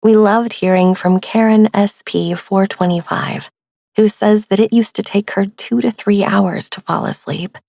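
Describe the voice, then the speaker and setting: A woman hosting a sleep meditation podcast, narrating in a soft, breathy voice with a gentle, soothing, unhurried delivery. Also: medium pitch, no room sound, clean studio sound. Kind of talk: guided relaxation, scripted podcast narration. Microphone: close studio microphone, dry.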